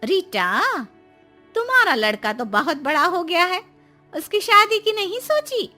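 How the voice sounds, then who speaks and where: A woman's voice: extremely high pitch, sing-song, a little slow, sharp tone, faint music behind, pitched above the performer's own voice, exaggerated voice. A woman voicing the chatty, inquisitive sister of the mother-in-law in a cartoon story.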